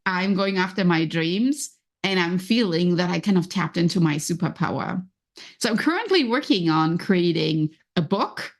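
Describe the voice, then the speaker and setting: A woman's voice: on the low side, expressive, normal speed. A woman with a German accent hosting a solo motivational podcast, talking conversationally and encouragingly, often voicing inner thoughts. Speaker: podcast host and coach.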